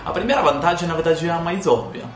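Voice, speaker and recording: This Italian-accented man from Sicily talks as an interview guest, pausing often and restarting his sentences. He is recorded in an echoey room, with faint music behind him. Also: on the high side. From Sicily, Italy. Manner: hesitant, many fillers and restarts. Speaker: guest, citizenship consultant. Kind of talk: casual interview chat.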